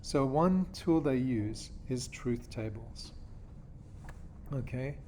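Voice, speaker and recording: A man's voice: medium pitch, lively intonation, takes it slowly, mellow, slight background noise. A man from Australia teaching a geometry class, explaining step by step and checking in with his students often. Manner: patient, checks in with "okay?" and "yeah?".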